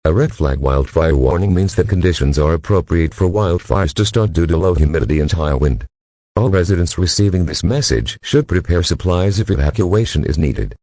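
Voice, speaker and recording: A synthetic-sounding man's voice as a weather radio announcer, reading official bulletins in a measured, impersonal way. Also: deep voice, animated, dark tone, no room sound. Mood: calm, official.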